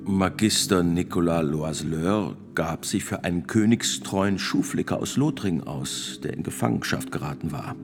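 An actor playing a grave priest and notary of sixty-six, testifying in a radio drama with gravelly, deliberate, formal speech. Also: male voice, sits low, over music. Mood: grave, bitter. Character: sixty-six-year-old male priest and court notary, grave witness.

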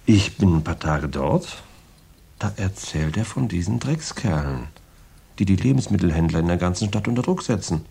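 Husky, gravelly boastful ex-boxer. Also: male voice, low-pitched, lively intonation, normal speed, dark tone, full character voice. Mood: confiding. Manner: rambling slangy storytelling, chuckling swagger. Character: boastful tough ex-heavyweight boxer, small-time crime storyteller. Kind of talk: German radio crime drama dialogue.

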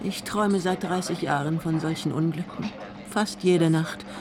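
A woman's voice: low voice, moderate pace, a crowd in the background. An actress playing a frail, eccentric woman in her eighties, imperious and needling, in a German radio play. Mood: dry, stubborn. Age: elderly. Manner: theatrical, scolding, pleading.

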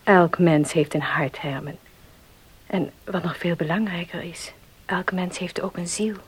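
An actress in a Dutch radio drama playing a compassionate, earnest woman, speaking softly in a husky, breathy voice. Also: lowish voice, measured pace, a little hiss or hum, close to an everyday voice.

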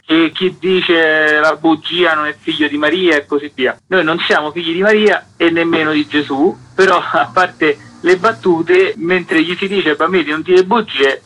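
A chatty man, his voice bright and fairly high, talking about his festival as a radio guest. He rambles a little, with fillers and offhand jokes. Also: rough recording. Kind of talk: radio interview. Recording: radio broadcast.

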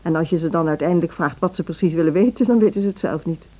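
An actress playing a formal, condescending woman who runs a music school in a radio drama, speaking in a measured, officious way. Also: fairly low pitch, warm tone, dull audio, natural voice. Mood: dismissive, condescending. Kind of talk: Dutch radio drama acting.

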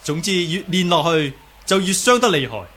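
Radio drama casting: humble young Cantonese martial artist and healer, lightly high-pitched, measured courtesy. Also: male voice, animated, a bit bright. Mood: calm, diagnostic. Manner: polite, humble, explanatory. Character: calm, learned young martial artist with healing knowledge.

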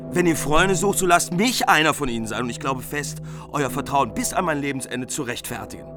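A man's voice: mid-range voice, lively intonation, a little fast, lightly acted. Young heroic knight male actor, radio drama: earnest, loyal, lovestruck, mid-range voice, music underneath. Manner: earnest, solemn declarations. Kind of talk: German radio play acting.

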